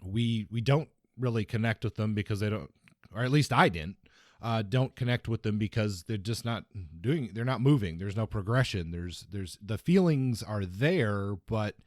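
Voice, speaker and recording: A man with a fairly low voice talks books as a podcast co-host. The sound is very dry, with no room to it. His delivery is casual and measured, softening each point as he makes it. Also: expressive, dry recording. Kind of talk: casual book discussion.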